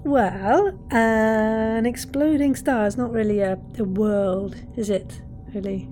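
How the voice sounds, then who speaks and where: A woman playing a polite, sceptical crewmate and narrator in a scripted audio drama, speaking in a measured way. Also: fairly high pitch. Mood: sceptical, hesitant. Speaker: podcast host. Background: music.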